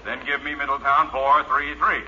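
An actor playing an insistent, frustrated and impatient telephone caller in a 1940s radio comedy. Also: male voice, medium pitch, level tone, moderate pace, close to an everyday voice. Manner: insistent, exasperated. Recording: very noisy, dull 1940s radio recording.

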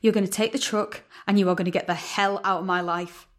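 An actress playing a betrayed, defiant fugitive in an audio drama, bitter and confrontational. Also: female voice, medium pitch, steady tone, brisk, close to an everyday voice. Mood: cold defiance. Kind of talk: audio drama dialogue acting.

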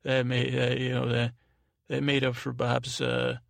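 A man hosting a podcast in a casual, meandering way, halting and restarting phrases as he goes, with a creaky, gravelly voice. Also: mid-range voice, completely flat delivery, no echo. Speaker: podcast host. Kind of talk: rambling casual monologue with thank-yous.

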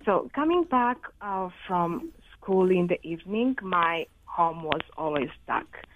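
A young woman, answering interview questions earnestly, with many "uh" and "you know" fillers. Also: on the low side. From Rwanda. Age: 20s. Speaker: young entrepreneur guest. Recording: tinny phone line with faint noise.

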